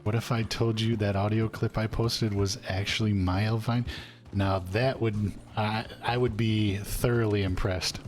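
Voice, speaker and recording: Low-voiced folksy man, game streamer. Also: little pitch movement, measured pace, soft background music. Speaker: streamer playing an old cowboy character. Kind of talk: roleplay and chatting with stream chat.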